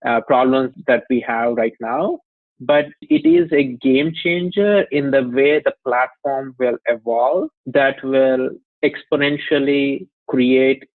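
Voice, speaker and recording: A man's voice: mid-range voice, gravelly, dry recording. A man from India with an Indian accent, a company chief explaining his work at length in an interview, with many "you know"s and light laughs. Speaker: company CEO, guest. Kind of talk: interview conversation. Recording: phone-like dry line.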